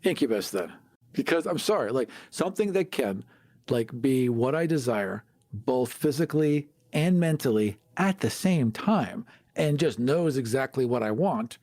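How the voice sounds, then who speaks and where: A man co-hosting a podcast, chatting casually in a candid, emphatic, animated way. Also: mid-range voice, a little slow, no room sound, clean studio sound. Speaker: podcast host. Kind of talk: casual chat.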